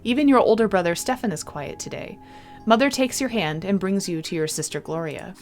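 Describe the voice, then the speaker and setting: A woman reading a visual novel aloud in an expressive storybook style, with casual playful commentary. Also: mid-range voice, a little fast. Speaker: video game streamer. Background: game music.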